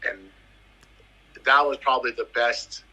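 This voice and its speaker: A man's voice: mid-range voice, fairly even intonation, unhurried, pushed and bright, low-quality recording. A man in his thirties from the US Virgin Islands, a footballer telling his career story in a casual interview, emphatic and fond of repeating phrases. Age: 30s. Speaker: footballer guest.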